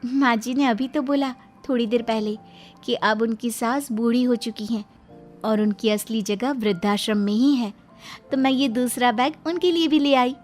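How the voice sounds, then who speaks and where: A sweet young daughter-in-law played by a woman in a storybook family tale. She speaks politely in a fairly high voice, innocent but shrewd, with music underneath. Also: moderate pace, darkish tone, lightly acted. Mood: mock innocent. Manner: polite, pointedly earnest.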